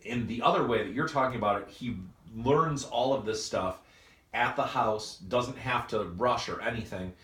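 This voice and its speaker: A man working as a comedy writer, haltingly working out plot ideas aloud in casual discussion. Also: mid-range voice, a bit bright. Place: indoor room at a writing session. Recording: echoey room, faint background noise.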